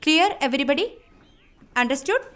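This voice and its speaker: An actress playing a schoolteacher who lectures a class deliberately and carefully, checking that it is understood. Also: female voice, high-pitched, sing-song, noisy background, exaggerated voice. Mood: instructive. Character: schoolteacher drilling a class in science. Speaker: teacher.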